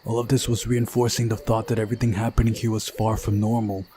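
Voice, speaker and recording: A man reading a visual novel aloud as a dramatic narrator, with soft guitar music behind him. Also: fairly low pitch, flat delivery, fast talker, slight background noise. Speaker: game playthrough narrator.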